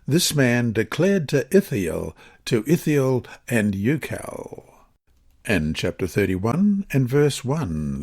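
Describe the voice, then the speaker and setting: A man reading a scripted religious lesson and scripture passages in a measured, formal, expressive manner. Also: on the low side. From Australia. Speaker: Bible lesson reader.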